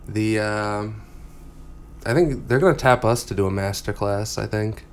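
A man's voice: fairly low pitch, a little slow, slight background noise. A man co-hosting a podcast, chatting casually and jokingly about movies in a rambling way, getting over a cold. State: lingering cough from a cold. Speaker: podcast co-host.

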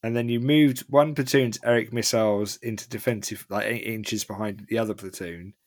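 A man's voice: fairly low pitch, fairly even intonation, moderate pace. A man co-hosting a podcast, joking and picking at the wargame rules for loopholes in casual chat. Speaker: podcast co-host.

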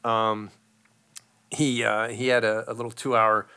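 A man's voice: on the low side, unhurried, clear, resonant tone. A middle-aged man in casual chat, telling a long fishing story with lots of fillers and restarts. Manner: rambling, animated, many fillers.

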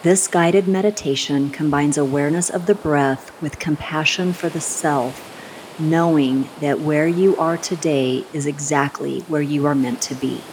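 A woman guiding a scripted meditation in a soothing, unhurried manner, giving gentle instructions with long pauses. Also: low-pitched, slight background noise, rough recording. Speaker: meditation guide.

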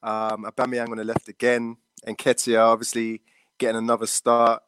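Halting man chatting with friends. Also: mid-range voice, monotone, a bit bright, clean tone, no echo. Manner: halting, lots of ums. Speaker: panel guest. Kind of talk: casual fan chat.

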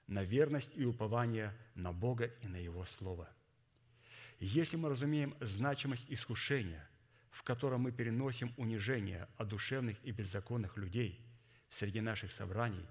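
A man delivering a sermon in a formal, didactic, deliberate way, his voice somewhat hoarse from a cold. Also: fairly low pitch. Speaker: preacher. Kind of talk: sermon with scripture readings and exposition.

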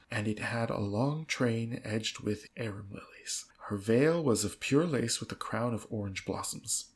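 A woman's voice: rumbling low, gravelly, slightly rough. A woman co-hosting a podcast, reading an old novel aloud in an amused, dramatic storytelling way.

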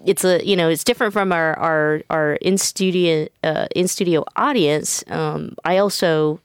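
A woman talk show host with a low, smooth voice. She talks in a chatty, loose way full of fillers and restarts. The sound is dry and close. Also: fairly even intonation, moderate pace, no room sound. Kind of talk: casual interview.

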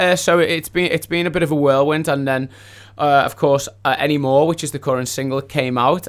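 A man, a singer being interviewed in a radio studio, chatting casually in an effusive, grateful way at a medium pitch.

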